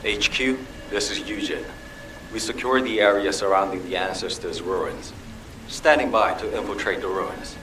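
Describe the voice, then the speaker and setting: An actor playing an earnest, caring and dutiful military medical corps commander in a video game. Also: male voice, lowish voice, moderate pace, noisy background, lightly acted. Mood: reporting. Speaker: field officer. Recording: roomy, distant, music underneath.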